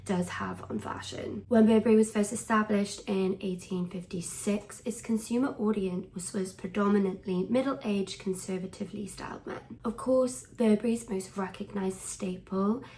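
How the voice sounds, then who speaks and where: Frank young woman with gravelly fry. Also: mid-range voice, steady tone, normal speed, few pauses, low-quality recording. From Wales. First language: Welsh. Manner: frank, conversational, drops to soft near-whisper at times. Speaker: fashion commentator. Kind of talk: casual talking-to-camera commentary.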